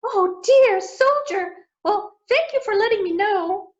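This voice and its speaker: A woman voicing a sweet, cheerful young princess who is kind to everyone, in a gentle, sing-song voice, on a dull lo-fi recording. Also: extremely high pitch, expressive, slow pace, voice pushed up, full character voice. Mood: grateful, sleepy.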